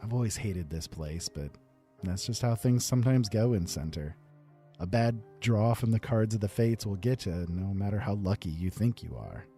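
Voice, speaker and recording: A man's voice: lowish voice, lively intonation, moderate pace, mellow, faint music behind. A man reading a first-person fantasy story aloud in a wry, rueful way.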